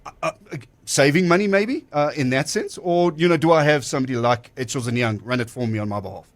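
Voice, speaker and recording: Halting male radio host with a higher voice. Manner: halting, full of fillers and restarts. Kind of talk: radio interview hosting.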